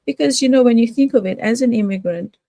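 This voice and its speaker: Older Fiji-raised woman, casual promoter, halting delivery, warm and dry. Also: a little high, no echo. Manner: hesitant, many fillers and restarts. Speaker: home business promoter. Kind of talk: casual talk to camera.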